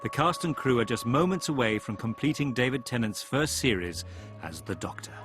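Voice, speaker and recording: Man, documentary narration, lively pitch, music underneath. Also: fairly quick.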